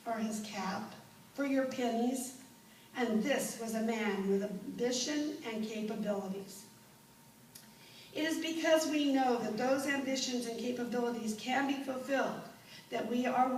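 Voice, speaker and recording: A woman playing an impassioned advocate for the blind, reciting a formal, measured speech in a declamatory dramatic style. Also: fairly high pitch, close to an everyday voice. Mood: earnest, pleading. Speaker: performer in a dramatization. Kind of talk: dramatic recited oration before an audience. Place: indoor room, recorded from a distance. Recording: roomy, distant mic, background noise.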